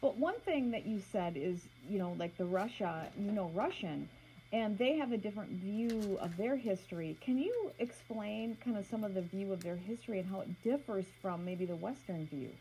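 A woman's voice: mid-range voice, animated, moderate pace, mellow, slight background noise, poor audio. A woman hosting an interview, eagerly interjecting with questions. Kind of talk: casual interview talk.

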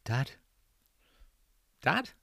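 An earnest adult son speaks directly and plainly, as a man reads him. The voice is slow and dry, close to the mic. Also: bright, forceful tone, no echo.

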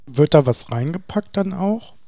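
A male podcast co-host with a fairly high voice talks in a casual, conversational way. He likes to tease as he chats. The sound is very dry, with no room echo. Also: lively intonation, measured pace, warm tone. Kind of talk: casual chat.

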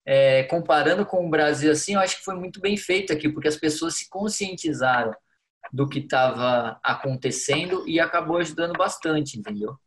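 A Brazilian goalkeeping coach in his thirties chats on a podcast. His voice sits a little high, and he tells his stories with lively, animated quoting. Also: a little fast. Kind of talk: podcast interview.

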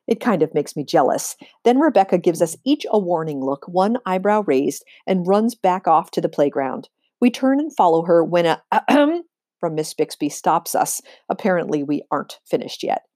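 A woman reads a children's novel aloud. Her voice is soft-edged and on the low side, with lively swings in pitch and emphatic phrasing. The sound is close and dry. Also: moderate pace, dry recording. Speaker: read-aloud reader. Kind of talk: expressive read-aloud storytelling of a children's novel.

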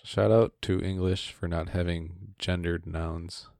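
Super low voiced young woman, chill and wry, dry close mic. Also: flat delivery, measured pace, vocal fry, a little mellow, no echo. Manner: laid-back, dry, wry remarks. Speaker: podcast co-host. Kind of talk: casual chat.

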